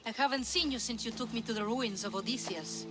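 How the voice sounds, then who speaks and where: A woman's voice: fairly high pitch, a little fast, natural voice. Woman playing a bold, coy young Greek adventuress. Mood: earnest. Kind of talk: video game cutscene acting. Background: loud music.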